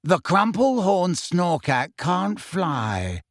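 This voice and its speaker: A dreamy teenage girl in a storybook reading, acted out by a man. She draws her words out slowly with airy, dignified calm. The recording is dry and close. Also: sits high, dry recording, pitched above the performer's own voice, exaggerated voice. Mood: serene. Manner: slow, drawn-out, dignified. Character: dreamy, serene teenage girl, airily unbothered. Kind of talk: audiobook character voice.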